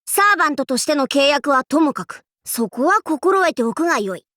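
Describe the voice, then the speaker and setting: Casting: young female demon-king warlord, anime game. High, swooping delivery; haughty, archaic, cocky, with a goofy streak. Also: lively intonation, moderate pace, fairly bright tone, no room sound, studio quality. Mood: stern, menacing. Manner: archaic lordly speech, haughty, abruptly switching to goofy. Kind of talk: Japanese anime game character voice lines.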